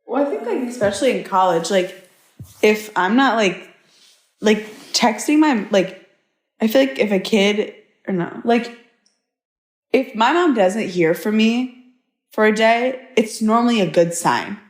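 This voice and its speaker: A woman's voice: medium pitch, expressive, measured pace, pauses often. A young woman chatting casually as a podcast guest, scattering "like" all through her talk. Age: young adult. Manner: frequent "like" as filler. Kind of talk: casual chat. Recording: echoey, roomy pickup.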